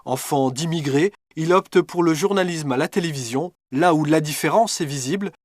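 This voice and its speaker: A man's voice: fairly high pitch, no room sound, clean studio sound. Earnest male documentary narrator. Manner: measured, earnest delivery. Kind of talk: scripted voice-over narration. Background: music.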